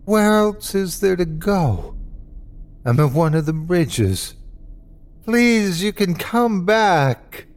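A man voicing an anguished dying man in a horror story, his voice strained and halting as he speaks in short bursts. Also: fairly high pitch, gravelly, darkish tone, pitched above the performer's own voice, heavily acted. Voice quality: strained, sometimes whiny or growling. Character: dying man, frightened, awed, turning bitter and hateful. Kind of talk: dramatic horror story reading.

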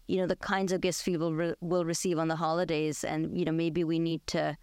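Clear-voiced woman hosting a discussion. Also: sits low, little pitch movement, a little fast, a little mellow, no room sound, studio quality. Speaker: podcast host. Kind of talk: conversational interview discussion.

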